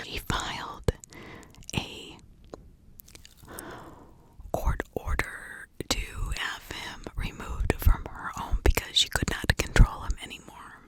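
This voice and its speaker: A woman hosting an ASMR video, talking informally and personally in a hushed, confiding way.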